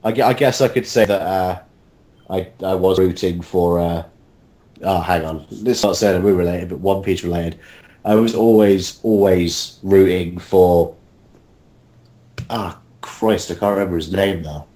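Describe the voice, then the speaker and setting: A man chatting casually as a cast member in a group interview, halting and self-deprecating. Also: deep voice, dark tone, low-quality recording. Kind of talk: casual chat.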